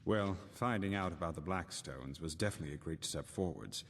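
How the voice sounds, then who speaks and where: A thoughtful scholar speaks in a low male voice with earnest self-doubt. His delivery is reflective and articulate, like a fantasy game companion. The voice rings in a long hall reverb. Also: fairly quick, fairly bright tone, a little hiss or hum. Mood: earnest, pensive. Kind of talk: video game character dialogue.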